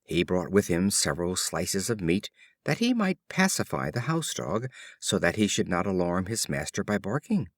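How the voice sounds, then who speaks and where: A man narrating short fables from a script in a measured, expressive storytelling manner. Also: low-pitched, no echo, studio quality. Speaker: audiobook narrator.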